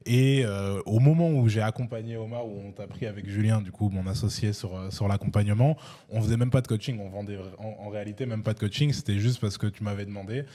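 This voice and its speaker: A man's voice: on the low side, quick pace, mellow. A young man hosting a casual sit-down interview, talking loosely with restarts and fillers. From France. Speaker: host and e-commerce coach.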